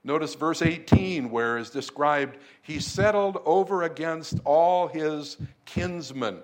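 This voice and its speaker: A male pastor delivering a sermon in a slow, deliberate cadence. His voice sits a little higher than most men's, and it rises with emphasis on key points. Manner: slow, measured preaching with emphatic lifts. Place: church room in Beijing.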